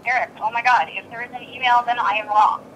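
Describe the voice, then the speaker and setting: Exasperated higher-pitched woman on the phone. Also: fairly even intonation, fast, over a noisy crowd. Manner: blunt, exasperated, swearing. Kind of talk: tense phone argument. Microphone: telephone. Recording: recorded telephone call.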